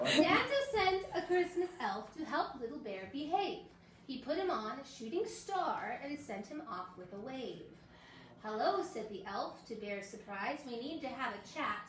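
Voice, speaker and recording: A woman in her thirties reading a children's picture book aloud to a small audience, a lively storyteller. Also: sits high, moderate pace, background noise. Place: hotel lobby with Christmas tree and seated guests. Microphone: camera across the room. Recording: roomy echo, voice from a distance.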